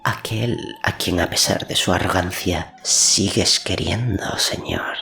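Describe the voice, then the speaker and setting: A sly storybook sorceress, acted by a man, speaks in a low, gravelly murmur that slips into breathy near-whispers. She is slow and deferential, insinuating as she goes, with faint music underneath. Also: pushed and bright, exaggerated voice. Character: sly, servile female sorceress whispering poisonous counsel.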